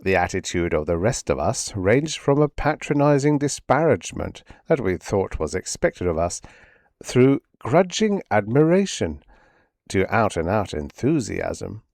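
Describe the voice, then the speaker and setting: Man reading as low-voiced, nostalgic old project chief. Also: sing-song, creaky, darkish tone, no room sound, studio quality, heavily acted. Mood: wry, musing. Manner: reflective, measured, faintly formal speech. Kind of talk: first-person audiobook character narration.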